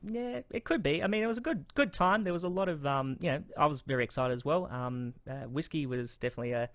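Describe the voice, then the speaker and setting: Aussie host guy, slightly high voice, dry close sound, chatty with lots of ums. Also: fast talker, fairly bright tone, no echo. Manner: friendly, upbeat, many fillers. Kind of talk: casual interview hosting.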